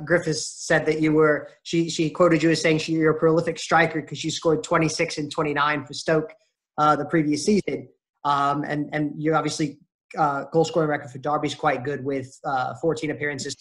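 Higher-pitched male podcast interviewer. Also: flat delivery, normal speed, a bit bright. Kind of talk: conversational interview. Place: at home on a video call.

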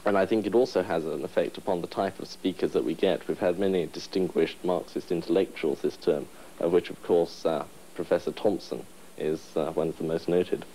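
A man's voice: deep voice, steady tone, fairly quick, warm tone, a little hiss or hum, poor audio. A young man in his twenties being interviewed in a study, answering in a wry, hesitant way with ums. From England. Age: 20s. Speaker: union president interviewee. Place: study room, indoors.

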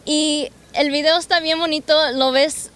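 Young woman from los angeles, high voice, bubbly, talking into a handheld mic outside in a park. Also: fairly even intonation, a little slow, a bit bright. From Los Angeles, United States. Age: young adult. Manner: bubbly, eager, slips in English words. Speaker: singer. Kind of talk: interview answers. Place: outdoors in a city park. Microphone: handheld mic held by interviewer.

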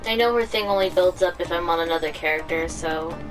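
A woman's voice: lowish voice, brisk, music in the background, rough recording. A woman giving casual gameplay commentary as a streamer, reacting in short informal remarks. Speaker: game streamer.

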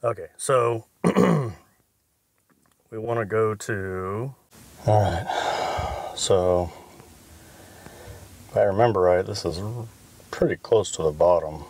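Low-pitched man giving casual tutorial. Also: darkish tone, slight background noise. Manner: informal, instructional. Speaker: tutorial host. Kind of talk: casual step-by-step tech tutorial.

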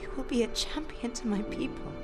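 A woman's voice: a little high, a little fast, natural voice. A woman playing an earnest, proud young warrior in a video game, speaking solemnly. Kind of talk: dramatic video game acting. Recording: loud music over the voice.

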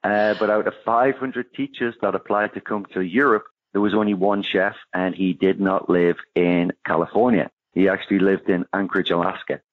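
A man's voice: low voice, fairly even intonation, moderate pace, few pauses, no echo. A man from northeast England with a Geordie accent, a chef guest chatting casually in an interview, laughing easily with plenty of "uh" fillers. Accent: Geordie English. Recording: tinny phone-line sound.

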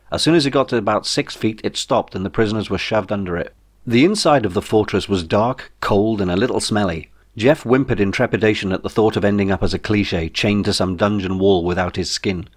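A man with a fairly low voice narrates a comic science fiction novel. His delivery is wry and dry, as an author reading his own book.

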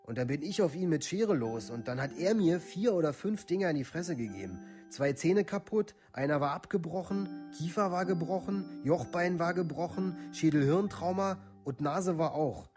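A man's voice: on the high side, lively intonation, moderate pace, few pauses, darkish tone, natural voice. Man acting a troubled youth, music behind. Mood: agitated, resentful. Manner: plain colloquial speech in short clipped phrases. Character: troubled village youth from a far-right scene. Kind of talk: documentary radio play monologue.

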